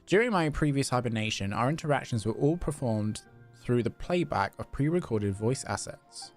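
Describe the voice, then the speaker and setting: A man voicing a polite, formal, flattering clockwork robot while reading game dialogue aloud. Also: medium pitch, normal speed, faint music behind, natural voice. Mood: precise, deferential. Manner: stiff, formal, deferential.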